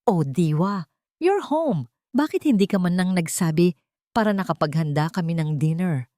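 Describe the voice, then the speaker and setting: A woman's voice: on the low side, lively intonation, moderate pace, mellow, no echo, studio quality, close to an everyday voice. A woman voicing a deceitful aunt who sounds falsely cheerful and composed, mixing English phrases into her talk.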